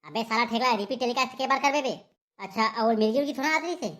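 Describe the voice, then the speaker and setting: A woman acts out a blustering cartoon police inspector with a Chhattisgarhi accent. The voice is high and very quick. The inspector scolds and bullies, firing off curt questions at the villagers. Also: fairly bright tone, lightly acted. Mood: scolding, interrogating. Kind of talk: Chhattisgarhi comedy cartoon voice acting.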